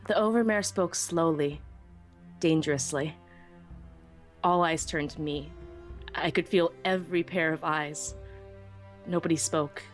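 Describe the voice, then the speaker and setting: A woman playing a small, anxious young female pony who narrates her own story in an expressive, earnest way. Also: deep voice, unhurried, long pauses, soft background music. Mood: tense, dread. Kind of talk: dramatic first-person story narration.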